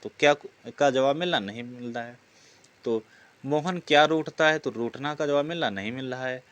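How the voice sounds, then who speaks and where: A man's voice: mid-range voice, moderate pace, fairly bright tone, highs cut off. Male teacher quizzing and explaining. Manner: explains through repeated rhetorical questions he answers himself. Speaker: Hindi grammar teacher for exam preparation. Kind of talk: lesson working through practice questions.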